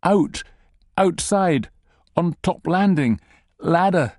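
A man voices a panicked husband, pitched well above his narrating voice and gasping out broken words, breathless with pain. The sound is dry and close to the mic. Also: sing-song, moderate pace, a little mellow, dry recording. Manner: short gasped phrases.

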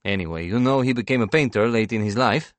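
A man's voice: fairly low pitch, fast talker, no room sound, voice pushed up, close to an everyday voice. A man voicing a passionate, blunt young woman painter in an audiobook, speaking with earnest emphasis. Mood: animated, intense.

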